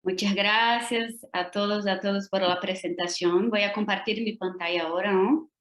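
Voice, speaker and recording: A woman's voice: mid-range voice, fairly even intonation, moderate pace, a bit bright. A woman from Brazil whose first language is Portuguese, giving an academic lecture over a video call with a Brazilian Portuguese accent and careful pacing, often checking in with tag questions. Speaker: guest lecturer. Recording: roomy video call audio.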